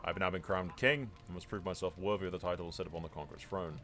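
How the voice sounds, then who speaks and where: Man reading as a solemn, low-voiced young fantasy king. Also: fairly even intonation, fast talker, a bit bright. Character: solemn newly crowned medieval fantasy king. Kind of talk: scripted fantasy narration read aloud.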